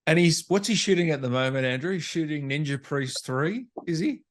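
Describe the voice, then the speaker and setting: A man's voice: a little high, expressive, fairly quick, no room sound, studio quality. A man hosting a casual gaming interview, chipping in with enthusiastic, slangy reactions. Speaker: interviewer, gaming channel host.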